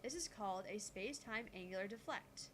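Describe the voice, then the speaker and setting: A woman voicing a calm, gutsy teenage genius girl who lectures on physics in a visual novel. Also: mid-range voice, fairly quick, slight background noise, natural voice. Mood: explanatory. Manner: explanatory, lecturing.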